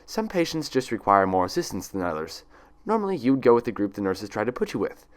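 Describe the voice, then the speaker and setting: A man's voice: on the low side, sing-song, fast. A man voicing a female pony nurse in an audiobook story, syrupy and condescending with a cold, authoritative edge. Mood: falsely cheerful. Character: falsely cheerful, icily stern female pony nurse.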